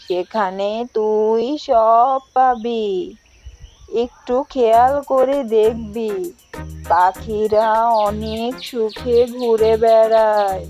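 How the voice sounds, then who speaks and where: A woman voicing a gentle, pious old grandmother in a cartoon, advising in a slow, preachy, measured manner. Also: a little high, fairly even intonation, soft background music. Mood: gently advising. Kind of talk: cartoon voice acting.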